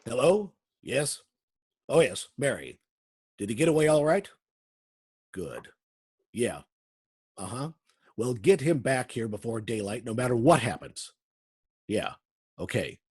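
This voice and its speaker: An actor playing a gruff, bossy, crooked prison warden in a radio drama, curt, blustering and impatient. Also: male voice, mid-range voice, sing-song, measured pace, pauses often. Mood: conspiratorial. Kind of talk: old-time radio drama acting.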